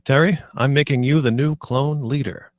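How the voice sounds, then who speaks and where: A man's voice: medium pitch, fairly even intonation, moderate pace, dry recording, studio quality, natural voice. A man playing a blunt, irritable spaceship captain in a sci-fi comedy table read. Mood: commanding, irritable.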